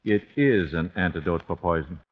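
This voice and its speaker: A man's voice: sits low, lively intonation, normal speed. An actor playing an older, formal, coldly polite father bent on vengeance in an old-time radio thriller. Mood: cold, menacing. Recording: muffled old radio broadcast, phone-like.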